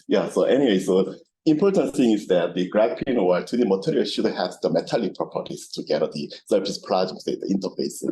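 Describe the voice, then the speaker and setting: A man giving a technical seminar lecture in halting, repetitive non-native phrasing. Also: fairly low pitch, warm tone, low-quality recording. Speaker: lecturer, researcher. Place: seminar room. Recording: lecture room with clear background noise.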